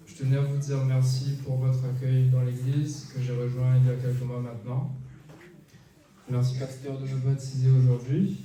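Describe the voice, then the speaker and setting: A man's voice: mid-range voice, mellow, slight background noise. A man in his thirties from Réunion, reading his baptism testimony in a church. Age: 30s. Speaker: baptism candidate. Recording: echoey church hall from far off.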